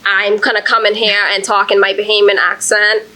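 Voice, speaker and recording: Woman panelist with a bright, crisp voice. Casual and chatty, full of fillers. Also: mid-range voice, level tone, brisk, low-quality recording. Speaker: panelist, archive founder. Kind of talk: conference panel discussion. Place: conference session room.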